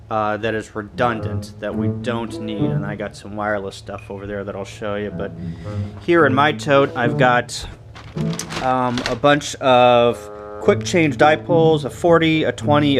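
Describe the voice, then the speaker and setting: Guy vlogging casually, slow halting way of listing stuff, guitar music playing behind him. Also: medium pitch. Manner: halting, list-like delivery. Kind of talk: casual show-and-tell to camera.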